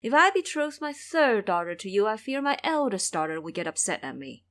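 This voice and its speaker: A woman performs a matronly mother-in-law from an audio drama. She is shrewd and bustling, giving fussy commands with a very lively, varied pitch. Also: a little fast. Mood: coy, calculating.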